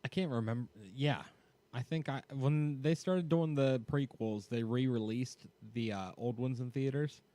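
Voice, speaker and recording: A man's voice: mid-range voice, moderate pace, mellow. A man in casual podcast chat, laid-back and profane, laughing along. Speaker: podcast co-host.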